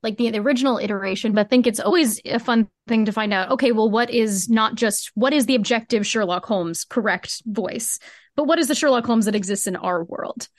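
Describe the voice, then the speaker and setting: A woman's voice: on the high side, fairly quick, no room sound, clean studio sound. A woman speaking as a podcast guest in casual, earnest, emphatic interview chat. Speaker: podcast guest, fiction podcast co-creator.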